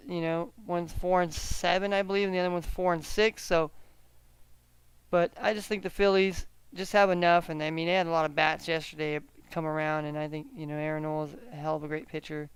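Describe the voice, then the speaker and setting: A man hosting a casual solo sports betting chat, often halting and repeating himself as he talks through his picks. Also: high-pitched, smooth, no echo. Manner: halting, repeats words, hedging gut calls. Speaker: sports betting show host.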